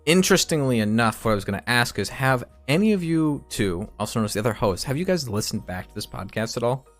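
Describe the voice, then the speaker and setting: A man's voice: medium pitch, animated, fast talker, fairly bright tone, soft background music. A man hosting a casual podcast, talking loosely and swearing as he thinks aloud. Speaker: podcast host. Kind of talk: casual chat.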